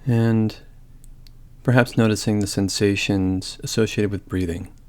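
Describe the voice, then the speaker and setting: A man leading a guided body scan meditation in a calm, gentle, measured way, leaving long pauses. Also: fairly low pitch.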